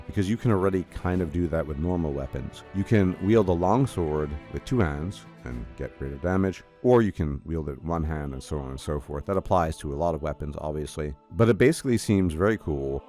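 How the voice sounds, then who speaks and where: A man's voice: low voice, a little mellow. A man hosting a gaming video, talking casually and enthusiastically while congested from a cold. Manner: enthusiastic, chatty, formal greeting. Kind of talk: casual solo commentary to camera.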